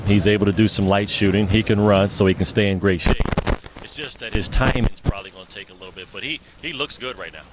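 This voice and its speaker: A man's voice: on the low side, background noise. A man, a basketball head coach, giving casual answers in a sports interview.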